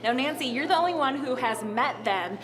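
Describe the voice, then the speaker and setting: A woman's voice: high voice, fairly quick. A young woman hosting a live pageant pre-show, bubbly and gushing as she interviews guests. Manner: bubbly, gushing, laughs often. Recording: lively crowd behind.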